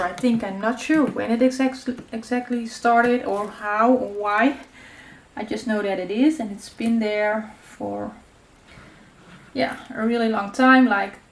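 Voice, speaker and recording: A woman in her thirties with a Dutch accent, whose first language is Dutch, chatting casually while she works through a paper craft tutorial. She talks in a loose, friendly way, with many fillers, and now and then she stops to search for a word. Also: mid-range voice. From Netherlands. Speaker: crafting channel host. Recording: echoey room with faint noise.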